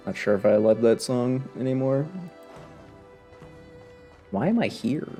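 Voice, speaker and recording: A man streams a video game with casual, off-the-cuff commentary. His voice sits at an ordinary pitch, and he comes across wry and sarcastic. Faint music plays behind him. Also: slow pace, warm tone. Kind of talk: casual live gameplay commentary.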